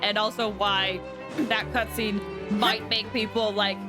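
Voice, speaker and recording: A woman chatting casually to viewers as she hosts a game livestream, in an animated, chatty manner, sounding a little tired. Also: medium pitch, measured pace. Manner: animated, chatty, with excited exclamations. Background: background music.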